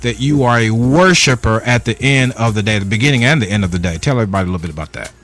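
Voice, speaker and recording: A man's voice: lowish voice, very fast, fairly bright tone. Sing-song, preacherly male radio host. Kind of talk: radio interview.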